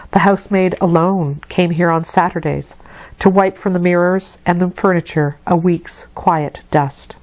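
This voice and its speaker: A woman reading a classic novel aloud as an audiobook narrator, in a measured, expressive literary delivery. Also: deep voice, dry recording. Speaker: audiobook reader.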